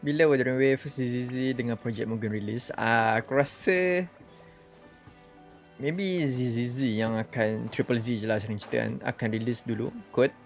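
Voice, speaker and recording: A man's voice: medium pitch, brisk, creaky. Young malaysian guy livestreaming a game, mid voice, casual offhand reactions, music in the bg. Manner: mixes Malay and English offhandedly. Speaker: game live streamer. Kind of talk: casual live stream chat reacting to a game.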